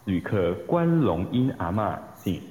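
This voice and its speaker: A man's voice: low voice, very animated, measured pace, a little mellow. A man playing a formal, courteous airline announcer in a radio drama, with dull, echoey sound.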